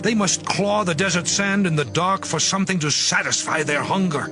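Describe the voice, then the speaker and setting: An actor playing a righteous, once-proud man in a dramatized scripture reading, solemn and expressive. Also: high voice, normal speed. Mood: bitter, anguished. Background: background music.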